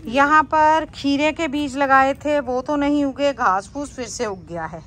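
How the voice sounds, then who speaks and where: A woman's voice: sits high, a little slow, fairly bright tone. A woman casually narrating a garden tour as a vlogger.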